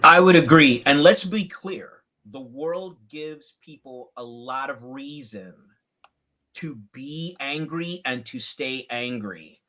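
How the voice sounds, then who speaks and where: A man in his fifties talking on a video call, building his points in a measured, deliberate way. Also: mid-range voice, pushed and bright, rough recording. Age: 50s. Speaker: guest. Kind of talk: reflective livecast conversation.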